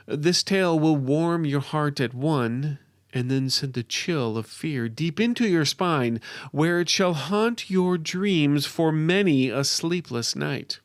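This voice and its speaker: A man's voice: fairly high pitch, no echo, studio quality, exaggerated voice. A man playing a wandering minstrel who tells a fantasy tale in a grandiloquent, teasing manner, putting questions to his listeners. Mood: ominous, playful. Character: theatrical wandering minstrel tale-teller, playful and knowing. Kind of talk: dramatic fantasy storytelling.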